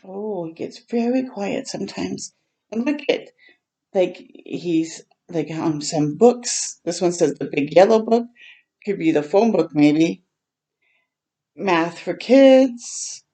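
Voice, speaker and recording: A woman hosting a storytime for children, reading a picture book aloud in a gentle way and pausing to chat and explain simply. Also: medium pitch. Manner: gentle, simple explaining to young listeners, with pauses to comment.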